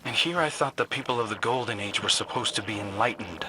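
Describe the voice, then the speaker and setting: An actor playing a wry, compassionate young newcomer scout in a video game, conversational and dryly witty. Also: male voice, lowish voice, fast talker, sharp tone, slight background noise, poor audio. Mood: earnest.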